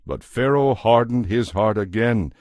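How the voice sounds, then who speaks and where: A man narrates a scripted Bible drama. His voice sits a little low, and he tells the story in a solemn, measured way. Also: a little mellow, no echo, studio quality.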